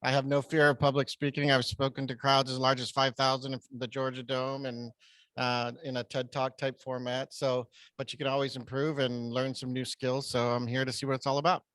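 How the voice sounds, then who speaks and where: A man introducing himself as a guest in a relaxed, confident, joking way. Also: medium pitch, brisk, no echo, low-quality recording. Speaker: visiting guest. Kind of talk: brief self-introduction.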